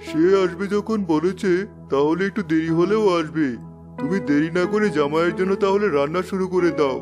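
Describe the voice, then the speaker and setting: Guy doing a cartoon dad, calm and practical, highish voice, music behind. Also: fairly quick, lightly acted. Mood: reassuring. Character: calm, practical middle-aged father. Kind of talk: Bengali cartoon voice acting.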